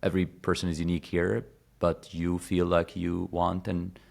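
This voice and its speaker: A man's voice: sits low, completely flat delivery, normal speed, vocal fry, darkish tone. A man in his thirties from Egypt, a podcast guest talking casually with lots of fillers and restarts. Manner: rambling, many fillers and restarts. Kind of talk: casual conversation.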